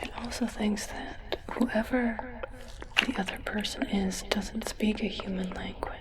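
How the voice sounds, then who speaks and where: ASMR roleplay: female performer as a puzzled, clinical alien, whispered throughout, halting and unhurried delivery. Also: mid-range voice, steady tone, close to an everyday voice. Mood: perplexed, measured. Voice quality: breathy whisper. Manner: slow, halting, measured clinical explaining. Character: soft-spoken, puzzled alien implanting a translator in a human's brain.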